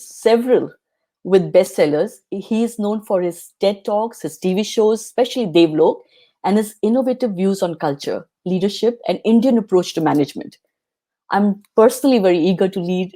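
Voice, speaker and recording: A woman's voice: lowish voice, mellow. A woman hosting an interview show, earnest in tone, with small restarts as she speaks. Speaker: host.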